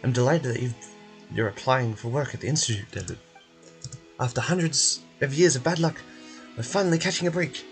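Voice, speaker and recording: A man's voice: mid-range voice, brisk, soft background music, close to an everyday voice. A man voicing a confident, welcoming male institute director in a video game, hearty and emphatic. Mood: delighted.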